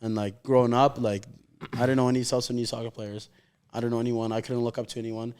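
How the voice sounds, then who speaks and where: A young man talking casually as an interview guest. He speaks quickly in a clean, smooth voice of medium pitch. His manner is informal and heartfelt, peppered with "like". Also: flat delivery, darkish tone. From raised in Calgary, Canada. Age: 20s. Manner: informal, slangy, heartfelt, heavy use of "like". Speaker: professional footballer, interview guest.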